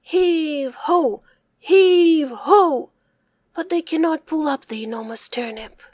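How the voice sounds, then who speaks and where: A woman reading a children's folk tale aloud in slow, expressive, sing-song storytelling. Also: high voice, no room sound.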